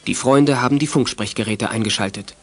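Male storyteller with mid-pitched voice over soft music. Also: fairly quick. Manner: storytelling, knowing. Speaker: narrator. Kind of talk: scripted narration for a children's audio drama.

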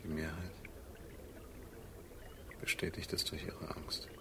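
An actor playing a quietly fervent revolutionary in a radio drama, proclaiming in a hushed, deliberate manner. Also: male voice, rumbling low, flat delivery, exaggerated voice. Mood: quiet conviction. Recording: clear background noise, very quiet voice.

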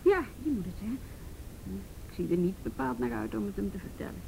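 A woman's voice: mid-range voice, lively intonation, a little fast, vocal fry, warm tone, poor audio. A weary policewoman in a radio play, with a mid-range voice. She is matter-of-fact and a little sardonic. A faint noise runs underneath. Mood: weary, resigned. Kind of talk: naturalistic radio drama acting.

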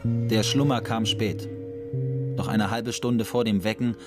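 A man's voice: lowish voice, steady tone, a little slow. A man reading scripted narration as a narrator in a radio drama. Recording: loud music under the voice.

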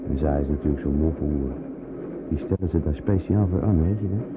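A man's voice: a bass voice, fairly quick. An actor playing a hostile, vulgar male bystander in a radio play, muttering with contempt. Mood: scornful. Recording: tinny noisy old radio play recording.

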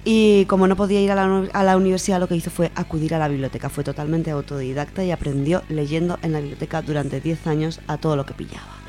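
A woman's voice: deep voice, level tone, fast talker, hardly any pauses, warm tone. A woman from Spain presenting on radio, giving an informal, semi-prepared account in an explanatory way, restating points as she goes.

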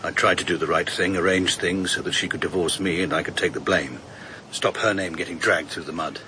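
An actor playing an emotional, defensive ex-husband in a radio drama. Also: male voice, low-pitched, fairly even intonation, fairly quick, sharp tone, a little hiss or hum. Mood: agitated. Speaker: Neville Strange. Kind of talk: BBC radio drama acting.

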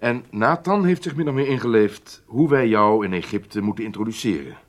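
Actor as secret service boss in a radio drama, lowish voice, deliberate, lots of intonation. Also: male voice, expressive, lightly acted. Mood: businesslike. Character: weighty, deliberate Israeli secret service chief.

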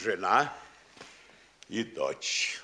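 An actor playing a pompous yet obsequious provincial town official in a theatrical comedy, servile and sanctimonious in manner. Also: male voice, low-pitched, very animated, extremely slow, sharp tone, full character voice. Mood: servile, respectful. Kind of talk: theatrical classic stage comedy acting.

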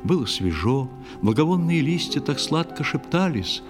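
A man's voice: fairly high pitch, moderate pace, long reverb tail. An actor playing a proud, ardent young knight who tells his adventures in dramatic verse for a children's audio play. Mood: dramatic suspense. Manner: dramatic, expressive declamation. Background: faint music.

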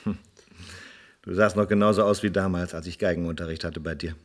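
A remorseful old ex-policeman reminisces in a very low, reflective voice. The delivery is weighty radio drama acting. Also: steady tone, fairly quick. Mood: reminiscing. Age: older. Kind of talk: German radio play acting.

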